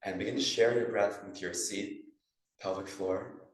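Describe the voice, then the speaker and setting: Low, even-voiced man in a very echoey room. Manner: measured phrasing with pauses, instructing breath and posture. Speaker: yoga and meditation teacher. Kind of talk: guided meditation in a live class. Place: yoga studio class. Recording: echoey room, distant microphone.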